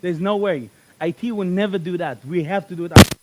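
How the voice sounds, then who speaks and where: Middle-aged Israeli-born speaker, high voice, punchy and repetitive, workshop presenter. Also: male voice, a little fast, very noisy. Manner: animated, emphatic, repeats key phrases. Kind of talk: motivational workshop talk.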